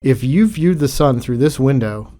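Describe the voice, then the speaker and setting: A man's voice: medium pitch, animated, moderate pace, mellow. A man delivering scripted technical commentary as a game developer.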